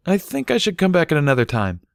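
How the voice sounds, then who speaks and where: A man's voice: a little high, wildly swooping pitch, fast talker, vocal fry, no room sound, clean studio sound, pitched above the performer's own voice, heavily acted. A man voicing a sheepish, nervous teenage male pony in a storybook reading, awkward and hesitant.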